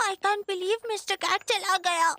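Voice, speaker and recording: Bright, very high-pitched little girl. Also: fairly even intonation, slow, full character voice. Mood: heartbroken. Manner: earnest, pleading, dramatic. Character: sweet, emotional little girl devoted to her pet cat. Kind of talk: Hindi cartoon dub acting.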